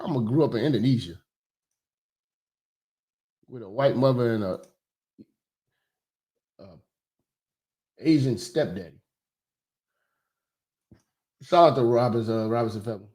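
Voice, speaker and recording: A man hosting a comedic commentary, talking loud, profane and animated, sometimes shouting. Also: medium pitch, measured pace, pauses often, breathy edge. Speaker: commentary host.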